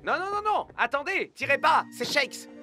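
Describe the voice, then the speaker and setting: A man voicing a young star striker in an animated series, eager and self-doubting, with an expressive, youthful delivery. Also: very high-pitched, normal speed, soft background music, exaggerated voice. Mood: panicked. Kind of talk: French animated series dub acting.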